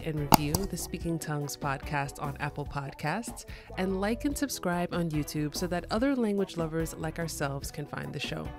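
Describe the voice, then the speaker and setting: A woman from New York City hosting a podcast, reading her intro and then interviewing her guest with upbeat enthusiasm. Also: sits low. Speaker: podcast host.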